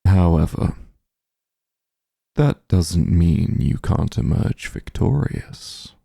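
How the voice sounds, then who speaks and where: A man playing a powerful, calm and smug demon lord in a fantasy ASMR roleplay, unhurried and condescending, with a gravelly, husky low voice. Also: no echo, clean studio sound, exaggerated voice. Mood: coaxing, persuasive. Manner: unhurried, condescending, softly commanding.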